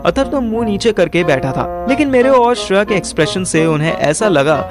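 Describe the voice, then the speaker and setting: A man playing a wry, quick-witted young man with an Indian accent, narrating and acting in a first-person audio drama. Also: sits high, over music. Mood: amused. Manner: animated, colloquial, mixes in English.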